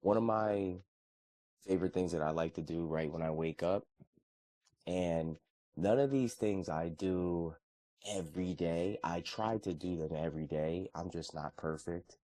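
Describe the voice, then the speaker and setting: A man's voice: deep voice, unhurried, no echo. A man in his thirties talking casually and earnestly about his morning routine and faith. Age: 30s. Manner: earnest, relaxed, conversational with frequent restarts. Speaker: vlogger.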